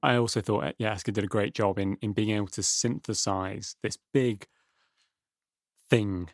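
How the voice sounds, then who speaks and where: A man hosting a podcast, chatting casually and quickly in a studio with frequent murmurs of agreement. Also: fairly low pitch, fairly even intonation, dry recording. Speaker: podcast host. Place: small dry studio.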